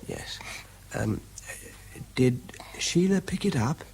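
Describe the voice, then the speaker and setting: An actor with a British accent playing an earnest, tired young military bomb investigator in a radio drama, courteous and a little hesitant. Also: male voice, mid-range voice, animated, slow, darkish tone, a little hiss or hum, poor audio. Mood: gentle questioning.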